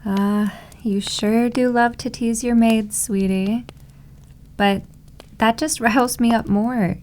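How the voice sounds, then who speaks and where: A woman's voice: a little high, steady tone, darkish tone. A woman playing a doting, possessive yandere maid girlfriend in an ASMR roleplay, with a sugary, cooing way of teasing. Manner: cooing, sugary, drawn-out endearments. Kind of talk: slow ASMR roleplay acting.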